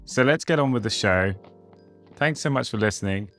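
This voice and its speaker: A man's voice: mid-range voice, lively intonation, normal speed, music in the background. A man hosting a podcast, speaking in a friendly, hesitant way with many restarts. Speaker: podcast host. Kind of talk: podcast hosting, casual interview.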